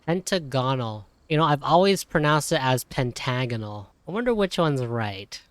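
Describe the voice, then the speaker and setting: A woman's voice: very deep voice, expressive, normal speed, background noise. A woman giving casual, chuckly live reactions as she plays a video game. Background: game music.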